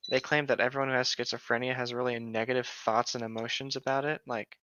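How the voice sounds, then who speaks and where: Bright, clear young man in casual talk. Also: mid-range voice, level tone, fast talker, dry recording, dull audio. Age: young adult. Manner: informal, joking, stumbles over hard words. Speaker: co-host reading fan fiction aloud. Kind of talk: casual group read-aloud with joking asides.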